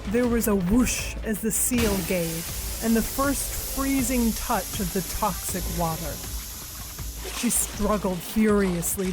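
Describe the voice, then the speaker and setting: Brief: female narrator, slow and dramatic audio drama reading, middle pitch, score playing underneath. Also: rough recording. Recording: narration over music score.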